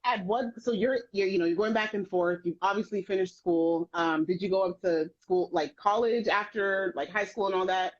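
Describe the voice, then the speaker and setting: A woman in the host's chair with a mid-pitched voice, joking and teasing her guest. She talks loosely in slang, with quick interjections, like a relaxed chat between friends. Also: muffled recording. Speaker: podcast host. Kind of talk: casual podcast interview.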